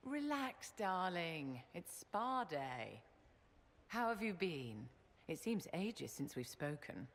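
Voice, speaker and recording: A woman's voice: fairly low pitch, sing-song, slow, slight background noise, heavily acted. An actress playing an elegant, imperious but affectionate society lady in a video game, speaking in a genteel, indulgent manner. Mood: breezy, indulgent. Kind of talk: video game cutscene acting.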